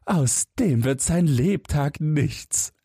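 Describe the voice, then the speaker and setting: Guy putting on a snooty mocking older brother from a fairytale, raised breathy voice. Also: a little high, expressive, takes it slowly, warm tone, no echo, clean studio sound, pitched above the performer's own voice, heavily acted. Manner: mocking, superior. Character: smug, scornful clever elder brother, young man. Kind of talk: fairy-tale audiobook character voice.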